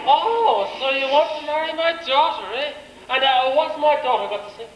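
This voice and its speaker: A man's voice: very high-pitched, animated, normal speed, lo-fi sound. An Irish man playing a jovial, blustering king in an amateur stage pantomime, teasing and theatrical. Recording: echoey village hall stage, background noise.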